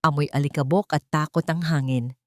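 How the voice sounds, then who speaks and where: A woman's voice: deep voice, steady tone, a little fast, a little mellow, no room sound, studio quality. A woman narrating a scripted dramatic story.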